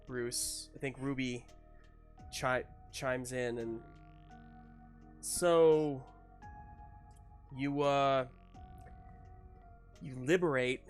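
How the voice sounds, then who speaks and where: A man running a tabletop roleplaying game, improvising narration and characters in a halting, searching way. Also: mid-range voice, steady tone. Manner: halting, searching delivery with repeated words. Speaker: game master. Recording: music running behind the voice.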